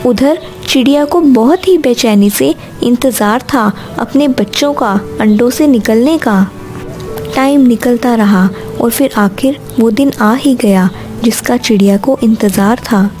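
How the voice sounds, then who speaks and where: Mellow woman storyteller with faint music. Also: a little high, measured pace. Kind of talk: scripted children's story narration.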